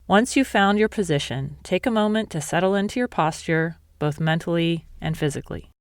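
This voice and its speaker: A woman meditation teacher leading a guided meditation in a calm, measured, instructional way. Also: on the low side, clear, resonant tone, no echo, studio quality.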